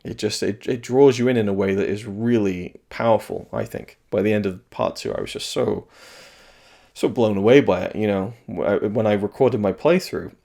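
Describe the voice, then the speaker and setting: A slightly hoarse man reviewing video games in a casual, rambling solo talk, thoughtful and full of restarts. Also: on the low side, moderate pace, dark tone.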